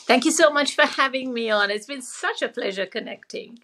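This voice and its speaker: A woman's voice: on the high side, fairly quick, fairly bright tone, slight background noise. A middle-aged woman from Sri Lanka, telling her personal story conversationally as a podcast guest. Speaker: podcast guest, former doctor and wellness coach.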